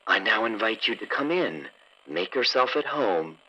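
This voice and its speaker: Dull radio-like recording, male podcast announcer, low raspy voice, formal theatrical scripted intro. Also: big pitch swings, normal speed, bright, forceful tone.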